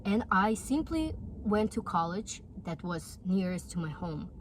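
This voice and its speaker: A woman's voice: mid-range voice, sing-song, measured pace, a little hiss or hum. Earnest young Russian-accented woman. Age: 20s. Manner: earnest, encouraging. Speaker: vlogger. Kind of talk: casual talk to camera.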